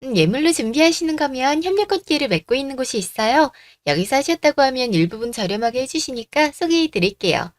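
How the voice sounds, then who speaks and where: A woman plays a courteous shop assistant in a radio drama. The voice is high, formal and helpful, recorded dry. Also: animated, fast talker, hardly any pauses, dry recording. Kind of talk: Korean radio story drama.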